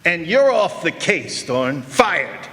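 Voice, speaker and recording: A man's voice: a little high, big pitch swings, slow pace, a bit bright, full character voice. A man playing a blustering, indignant businessman in a comic detective radio play, huffy and curt. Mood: indignant, dismissive. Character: testy, blustering male businessman, an indignant client. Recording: live stage, long hall reverb.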